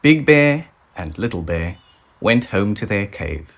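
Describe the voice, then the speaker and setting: A storybook narrator reading aloud to young children in a deliberate, expressive scripted style. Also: low-pitched, vocal fry. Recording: faint background noise with sound effects.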